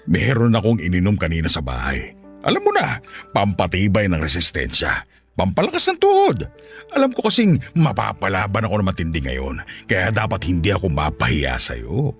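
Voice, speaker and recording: A man's voice: low-pitched, huge pitch swings, moderate pace, a bit bright, soft background music, exaggerated voice. Man acting a lustful Filipino widower, wildly swooping delivery. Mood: boastful, eager. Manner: suggestive, coaxing, chuckling seducer. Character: lustful middle-aged Filipino widower, coaxing, boastful, smitten. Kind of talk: Tagalog radio drama acting.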